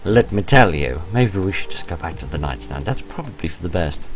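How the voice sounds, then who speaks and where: A man's voice: low-pitched, very animated, a little fast. A British man hosting a game playthrough, reading story text aloud theatrically and reacting with incredulous, hesitant asides. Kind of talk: gaming commentary, reading visual novel text aloud with ad-libbed reactions. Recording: music under the voice. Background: background music.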